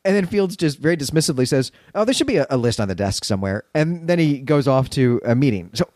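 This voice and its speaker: A man hosting a literary podcast in an animated, conversational voice. His pitch moves around a lot as he explains and stresses key words. The sound is dry and close to the microphone. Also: quick pace, no room sound. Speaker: podcast host. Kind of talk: conversational literary recap and commentary.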